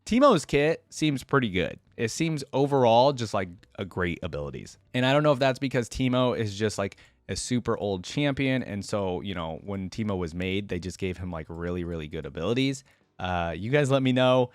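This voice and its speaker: A man's voice: lowish voice, expressive, moderate pace, dry recording. A man hosting a reaction video, talking casually as he comments along.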